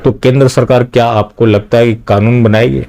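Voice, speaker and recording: A man's voice: medium pitch, steady tone, a little fast, creaky, warm tone. A man delivering opinionated political news commentary straight to viewers, often posing rhetorical questions.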